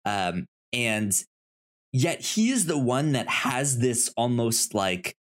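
A man co-hosting a casual film discussion, speaking haltingly and often stammering over the starts of words. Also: medium pitch, lively intonation. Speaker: podcast co-host.

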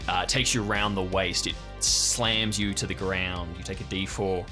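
Low voiced guy running a tabletop game, describing stuff vividly, guitar music going behind him. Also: steady tone, normal speed. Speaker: game master of an improvised audio drama. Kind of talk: improvised tabletop game narration.

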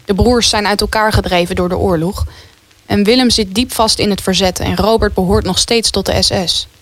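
A woman's voice: medium pitch, steady tone, brisk, no room sound. A woman reading scripted narration as the storyteller of a wartime radio play.

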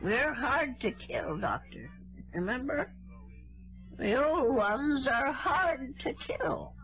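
A woman's voice: high-pitched, expressive, slow pace, full character voice. An actress playing a fretful, tenderhearted old lady in a radio drama, plaintive and anxious. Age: older. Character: tough yet tenderhearted, fretful old landlady. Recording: dull vintage radio recording with music.

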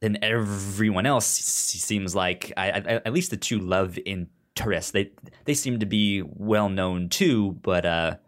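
A man with a low voice chats as a podcast co-host. He speaks casually and haltingly, often repeating a word or two before rambling on to the point. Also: fairly bright tone. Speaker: podcast co-host reviewing a TV drama. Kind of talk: casual chat.

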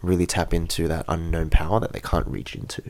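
Interview guest, man, 30s, very deep voice, outspoken and frank. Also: flat delivery, fairly quick, a little mellow. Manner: frank, blunt opinions. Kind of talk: casual interview chat. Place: Japan.

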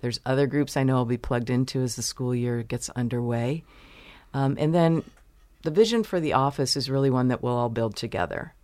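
Measured woman explaining policy, dry sound. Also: a bass voice, a little mellow, dry recording. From United States. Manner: measured, explanatory, pauses to choose words. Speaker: university vice president, interview guest. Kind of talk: interview answers.

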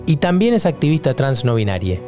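A man giving scripted podcast narration in an even, informative delivery. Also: mid-range voice, fairly quick. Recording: faint guitar music behind.

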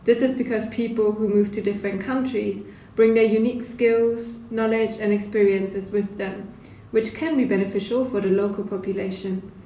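A woman's voice: mid-range voice, steady tone, moderate pace, mellow. A young woman from Germany, a student panelist giving prepared remarks in a podcast discussion. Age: young adult. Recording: echoey room, distant mic, faint noise.

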